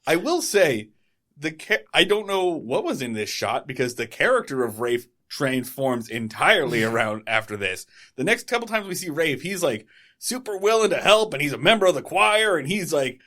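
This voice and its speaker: A man's voice: on the high side, very animated, moderate pace, a bit bright. A man co-hosting a casual podcast who jokes around and acts out scenes as he retells them. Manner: jokey, acts out characters in retelling. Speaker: podcast co-host. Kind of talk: casual chat.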